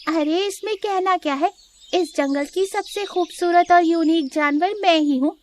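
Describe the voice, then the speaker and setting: A woman's voice: very high-pitched, measured pace, a little hiss or hum, pitched above the performer's own voice. A woman voicing a vain, sassy, boastful young female deer in a children's cartoon, with a taunting, dramatic manner. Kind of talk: Hindi children's cartoon voice acting.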